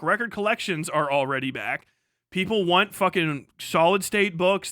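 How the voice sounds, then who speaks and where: A man's voice: sits high, sharp tone, no echo, clean studio sound. A man on a casual, emphatic livestream rant, speaking off the cuff, repeating himself for emphasis and swearing freely. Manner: emphatic, repetitive, profane.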